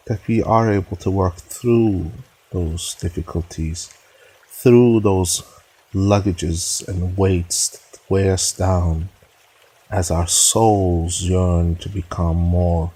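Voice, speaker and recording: A man leading a guided meditation in a measured, solemn, instructive way, leaving pauses between phrases. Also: sits low, mellow, slightly rough, poor audio.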